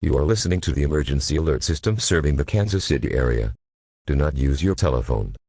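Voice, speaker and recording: A man reading a formal emergency broadcast announcement in a solemn, measured, impersonal way. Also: very deep voice, expressive, darkish tone, breathy edge, no echo.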